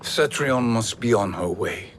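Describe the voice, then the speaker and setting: A man's voice: fairly low pitch, expressive, unhurried, a little hiss or hum. A man playing a sly, amused sorcerer villain in a video game, with a mocking, theatrical delivery. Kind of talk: video game cutscene acting. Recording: loud music behind the voice.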